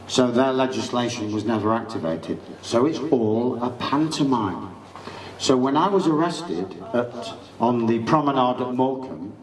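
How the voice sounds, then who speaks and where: A man's voice: medium pitch, distant mic. Middle-aged man, emphatic lecturing, echoey room. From England. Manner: emphatic, acts out quoted exchanges. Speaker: speaker giving legal talk. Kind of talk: informal lecture. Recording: echoey room, speaker away from mic.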